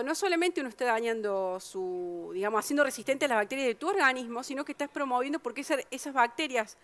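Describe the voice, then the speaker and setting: A woman from Argentina with an Argentine Spanish accent, a researcher explaining her work at a public science talk, with frequent fillers and restarts. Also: on the high side.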